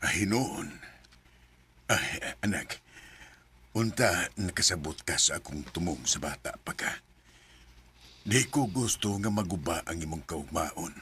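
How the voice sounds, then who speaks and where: A man's voice: low voice, expressive, a little slow, pauses often, sharp tone, husky, exaggerated voice. An actor with a Cebuano Filipino accent playing a protective middle-aged father in a radio drama, stern but loving and earnest. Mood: tender, concerned. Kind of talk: Bisaya radio drama acting.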